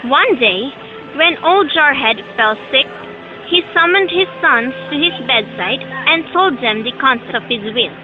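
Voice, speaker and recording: A woman's voice: high voice, animated, a little slow, phone-quality sound, faint music behind. A young woman reading scripted storybook narration for an amateur student audio drama. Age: young adult.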